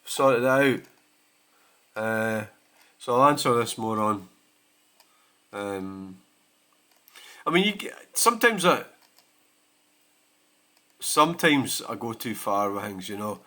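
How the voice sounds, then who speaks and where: Sweary Scottish man, bright voice. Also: lowish voice, animated, unhurried, long pauses. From Scotland. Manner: blunt, sweary, rambling. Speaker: channel host. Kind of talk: casual vlog rant.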